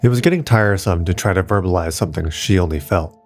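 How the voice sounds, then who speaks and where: Guy narrating an audiobook, lowish voice, steady and measured. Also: faint music behind.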